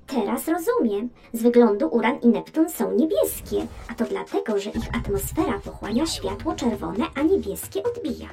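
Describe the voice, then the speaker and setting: A talkative space probe in a children's radio play. She is high-pitched and very animated, explaining with curiosity and a wry, teasing edge. Faint music plays behind her. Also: normal speed, few pauses. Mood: eager, explaining. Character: talking female space probe, chatty, sardonic, curious explorer.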